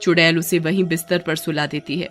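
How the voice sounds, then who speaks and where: A woman's voice: low-pitched, level tone, fairly quick, fairly bright tone, soft background music. A woman narrating a scripted story expressively, as a storyteller.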